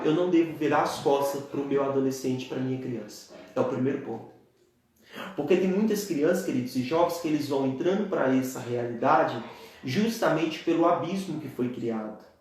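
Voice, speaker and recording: A man's voice: a little high, darkish tone, heavy reverb. A Brazilian man in his twenties with a Brazilian Portuguese accent, preaching an earnest church teaching and often calling his listeners dear ones. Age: 20s. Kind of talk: church teaching talk on a live stream.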